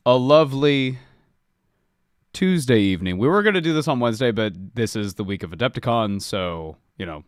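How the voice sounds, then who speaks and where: A man hosting a book club podcast in casual chat, a little sniffly, talking in an animated, expressive way. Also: mid-range voice, fairly quick, clean tone, dry recording, clean studio sound. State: slightly sniffly.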